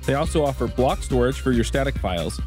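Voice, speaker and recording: A man's voice: expressive, quick pace, over music. A man reading a sponsor ad for a podcast in an upbeat, practiced way. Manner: smooth, upbeat pitch. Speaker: ad reader. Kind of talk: read sponsor ad.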